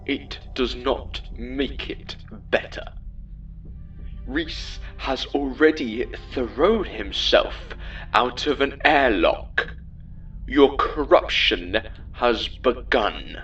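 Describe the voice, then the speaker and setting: A man's voice: medium pitch, lively intonation, extremely slow, a bit bright, highs cut off, faint music behind. A man voicing a sarcastic, camp AI villain in an audio drama, with a theatrical, mocking, sinister delivery.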